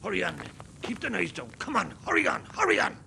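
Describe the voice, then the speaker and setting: An actor with an Irish accent playing a brisk, bossy rebel section leader in a radio play. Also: male voice, high voice, huge pitch swings, vocal fry, sharp tone, slight background noise, exaggerated voice. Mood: urging, bossy.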